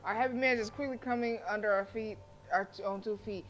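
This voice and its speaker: A woman game streamer reading a visual novel aloud and reacting casually, with sassy, expressive delivery. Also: on the high side, fairly quick. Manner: expressive, sassy, talks back to characters. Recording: faint game music behind the voice. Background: game music.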